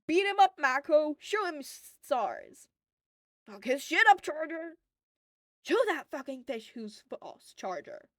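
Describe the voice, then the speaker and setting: Woman acting out rowdy, shouting fight fans, high-pitched. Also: sing-song, slow pace, no echo, studio quality, exaggerated voice. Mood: fired up. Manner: loud, aggressive cheering. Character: rowdy, foul-mouthed fight fans yelling from the crowd.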